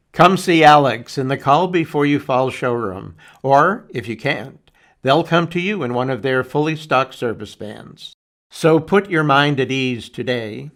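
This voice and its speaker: A man narrating a scripted advertisement as an announcer. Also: mid-range voice. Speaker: commercial announcer.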